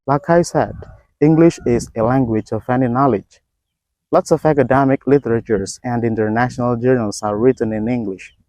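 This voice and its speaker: A man's voice: medium pitch, darkish tone, no echo. Formal Indonesian man giving a dry talk. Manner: formal, measured, textbook phrasing. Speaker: educator. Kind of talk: scripted talk.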